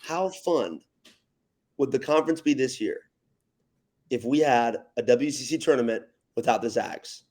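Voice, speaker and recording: A man's voice: medium pitch, lively intonation, a little slow, long pauses, darkish tone. Emphatic man chatting casually about sports. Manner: emphatic, repeats questions for effect. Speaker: podcast guest, arena PA announcer.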